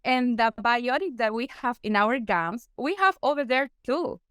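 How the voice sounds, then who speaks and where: A woman's voice: a little high, animated, a bit bright, smooth, no echo, studio quality. A Peruvian woman, a native Spanish speaker, who answers interview questions in careful English and often searches for the right word. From Peru. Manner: halting, searching for English words. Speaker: guest consultant, periodontist.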